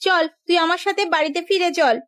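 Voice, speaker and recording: Woman acting a high-pitched, scornful sister-in-law. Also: brisk, a bit bright. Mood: scornful scolding. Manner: scolding, taunting. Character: haughty, scornful sister-in-law from a landlord's household. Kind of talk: melodramatic cartoon voice acting.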